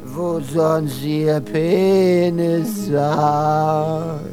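Sad bard singing super slow plaintive lament, loud music behind. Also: female voice, low voice. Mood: mournful. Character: mournful singing bard of a ruined kingdom. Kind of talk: sung lament in a radio drama. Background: loud musical accompaniment.

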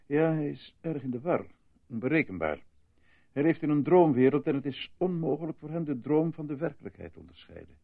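An actor playing a solemn, formal hospital doctor with a husky, gravelly voice in a Dutch radio play. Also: male voice, a little high, very animated, dry recording, exaggerated voice. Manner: measured, formal. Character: solemn, controlled hospital doctor, coolly reassuring. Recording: thin, phone-like, dry old radio recording.

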